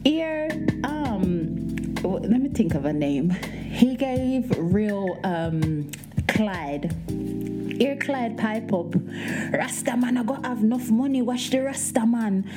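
A woman with a light, soft voice, hosting a podcast and telling a story in casual, playful chat. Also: on the high side, takes it slowly, music in the background. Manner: animated, playful, breaks into singing and laughter. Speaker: podcast host.

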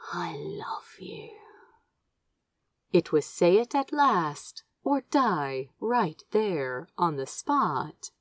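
A woman's voice: fairly low pitch, sing-song, slow, hardly any pauses, no room sound, studio quality. A woman reading fiction aloud as an amateur audiobook narrator, with tender, dramatic storytelling. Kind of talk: expressive fan fiction narration.

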